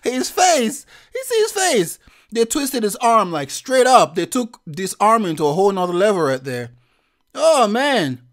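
A man giving a profane, slangy reaction commentary as a vlogger, with loud laughing outbursts. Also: sits high, big pitch swings, measured pace.

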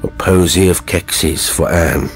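A man's voice: low voice, fairly even intonation, slow, slight background noise. A man voicing a frenzied, haggard nobleman with curt, archaic speech in a horror story. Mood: sinister.

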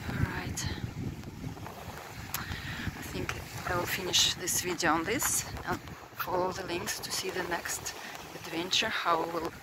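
Russian lady vlogging on her phone, lowish voice, excited, super noisy outdoors. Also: slow pace. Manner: enthusiastic, exclaiming. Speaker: travel vlogger. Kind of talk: casual chat and vlog narration. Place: outdoors by the sea and in a car. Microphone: handheld phone.

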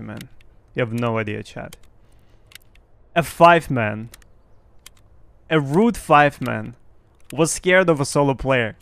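A young man whose first language is Russian, giving excited, boastful live commentary on his game to his chat through a headset. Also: mid-range voice, vocal fry. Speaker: streamer.

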